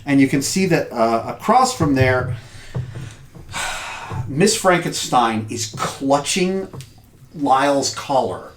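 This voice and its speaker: Game master narrating a tabletop story, halting, very animated pitch, bit of room echo. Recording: roomy with faint noise.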